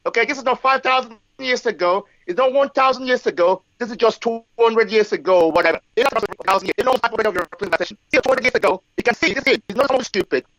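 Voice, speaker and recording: A man's voice: very high voice, quick pace, few pauses, fairly bright tone, no room sound, poor audio. A man in his forties from Africa, speaking in a live discussion with emphasis and frequent checks of "okay" and "yes". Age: 40s. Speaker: guest speaker.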